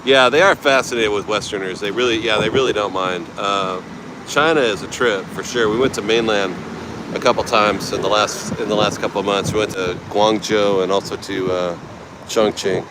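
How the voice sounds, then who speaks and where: A man's voice: on the low side, fairly even intonation, normal speed. A middle-aged American man from California, rambling in a laid-back way as he livestreams and answers his viewers. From United States, California. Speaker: travel livestreamer. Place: outdoors at a night market by the beach. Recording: noisy outdoor street recording.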